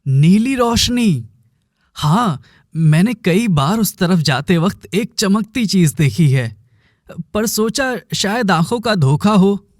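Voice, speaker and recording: Casting: man as young village woodcutter for cartoon melodrama, earnest and uneasy, higher-pitched, very dry sound. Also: expressive, takes it slowly, no room sound, heavily acted. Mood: curious, intrigued. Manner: earnest, brooding.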